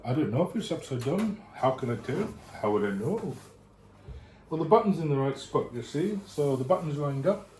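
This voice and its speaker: A man's voice: a little high, sing-song, normal speed, warm tone. A man vlogging at home, thinking aloud in a bored, muttering way as he builds a computer, picked up by a camera mic. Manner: sounds bored, muttering to himself. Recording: echoey room with faint hiss.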